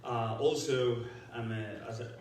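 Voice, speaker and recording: Man, Venezuelan accent, Spanish native, halting with restarts, heavy hall echo. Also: mid-range voice, steady tone, a little mellow, slight background noise. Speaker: moderator. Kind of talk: explaining forum rules. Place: large echoey hall. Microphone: distant room mic. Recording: echoey hall, voice far off.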